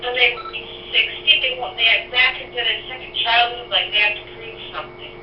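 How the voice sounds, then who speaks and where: A woman's voice: fairly high pitch, steady tone, a little fast. A woman in her late fifties chatting casually, blunt and upfront. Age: 50s. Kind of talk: casual chat. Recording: distant, echoey, muffled phone-like audio with noise.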